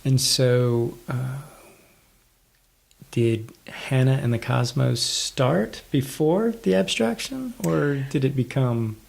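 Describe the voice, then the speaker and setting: A woman's voice: a bass voice, takes it slowly, vocal fry, darkish tone. A woman hosting a podcast, asking curious, playful follow-up questions in a casual interview. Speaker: podcast host.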